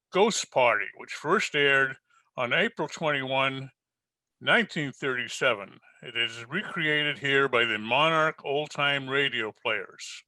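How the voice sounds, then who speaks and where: A bright, forceful male announcer reads a scripted introduction in a measured, formal way. His voice is somewhat high for a man and recorded very dry. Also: no room sound. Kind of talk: scripted radio introduction narration.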